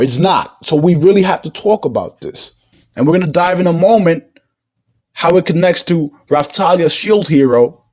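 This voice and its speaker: A man's voice: sits high, darkish tone. Emphatic, preachy man in monologue. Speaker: online commentator. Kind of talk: impassioned opinion monologue.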